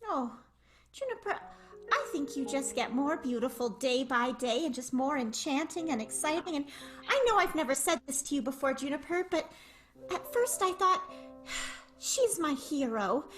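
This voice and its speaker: A woman playing a shy, lovestruck vaudeville performer in an improvised radio play, halting and stammering. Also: high-pitched, big pitch swings, fairly bright tone, faint music behind. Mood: tender. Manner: hesitant, stammering.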